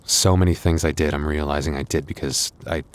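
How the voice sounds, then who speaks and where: A man playing a gentle, anxious young werewolf boyfriend in an intimate audio roleplay, speaking softly and haltingly. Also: rumbling low, steady tone. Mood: reflective, uneasy. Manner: intimate, hesitant, soft-hearted, halting.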